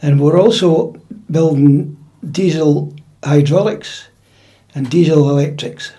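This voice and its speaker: Older Scottish man, mellow higher-pitched voice. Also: slow. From central Scotland. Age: older, about 80. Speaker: interviewee, piping-band drummer. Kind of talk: conversational life-story recollection. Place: indoors at home.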